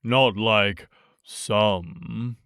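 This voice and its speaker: A man's voice: fairly low pitch, a bit bright, clean tone, no echo, studio quality, voice pushed down, exaggerated voice. A man voicing a gloomy, mournful donkey in a storybook, drawing out his words in a despondent way. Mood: gloomy, resigned. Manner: drawn-out, despondent, sighing delivery. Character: gloomy, slow, mournful male old donkey.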